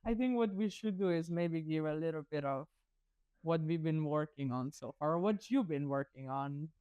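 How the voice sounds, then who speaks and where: Slovenian-accented young woman, smooth and clear. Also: deep voice, moderate pace, dark tone, no room sound, lo-fi sound. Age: young adult. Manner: brief interjections, laughs easily. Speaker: podcast co-host. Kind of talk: casual chat.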